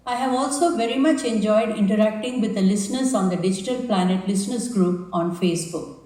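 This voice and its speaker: A woman's voice: mid-range voice, a little mellow. A woman from India with an Indian accent, reading a prepared listener message for a radio show. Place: played over theatre speakers. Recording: recorded message replayed in echoey theatre, distant.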